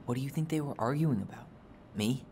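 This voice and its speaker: A man's voice: medium pitch, fairly quick, mellow, slight background noise, natural voice. An actor playing a guarded, wry young man in a naturalistic video game performance. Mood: puzzled, probing. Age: young adult.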